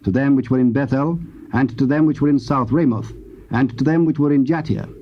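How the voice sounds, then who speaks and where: A man narrating scripture in a solemn, measured and formal manner. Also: mid-range voice. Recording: dull, hissy old cassette tape.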